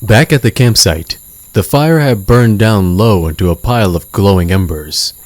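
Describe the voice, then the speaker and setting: A man narrating a scripted story in a measured, suspenseful, dramatic style. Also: on the low side, no echo. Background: loud music.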